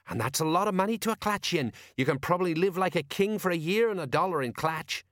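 A man's voice: high-pitched, lively intonation, fast talker, fairly bright tone, dry recording, clean studio sound, voice pushed up. A man voicing a pompous, self-important, dim-witted veteran police sergeant who lectures in colloquial speech, in an audiobook.

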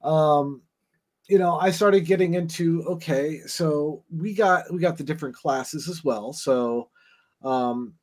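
A man's voice: fairly high pitch, measured pace, darkish tone. A man appearing as a guest on a casual podcast, rambling and hesitant as he explains his theories. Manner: rambling and hesitant, many fillers and restarts. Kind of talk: casual discussion of game lore.

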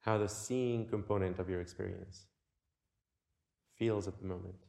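A man leading a guided meditation in a gentle, unhurried way, leaving long pauses between short phrases. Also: low voice, fairly even intonation, gravelly. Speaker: meditation teacher.

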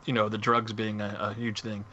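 A man co-hosting a podcast in casual chat, speaking hesitantly and haltingly, with faint noise in the recording. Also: fairly low pitch, completely flat delivery, pushed and bright, highs cut off. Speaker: podcast co-host.

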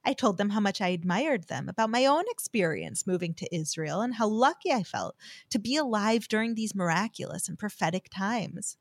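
A woman's voice: a little high, no echo, clean studio sound. An American woman giving a heartfelt, scripted devotional talk that she tells as a personal story. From United States.

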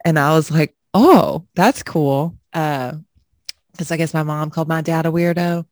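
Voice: medium pitch, a little slow, dry recording, studio quality. A young adult podcast co-host telling stories in casual, profane chat, laughing often. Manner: profane, laughing, slangy storytelling.

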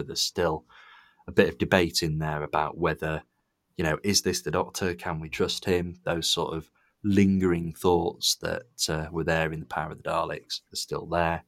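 A man with a very low voice hosts a podcast in a casual, conversational way. He sounds enthusiastic as he shares his opinions. The sound is dry, with very little room in it. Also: dry recording. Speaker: podcast host. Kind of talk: casual review chat.

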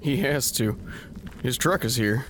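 An actor playing an earnest, concerned young companion in an audio drama, speaking hesitantly and questioningly. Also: male voice, mid-range voice, expressive, unhurried, slight background noise, close to an everyday voice.

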